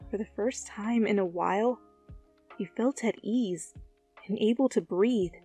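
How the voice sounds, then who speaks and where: A woman reading fan fiction and chatting as the channel's host, with a goofy, joking manner. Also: mid-range voice. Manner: goofy, joking, breaks into silly asides. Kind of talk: story narration and playful blooper chatter.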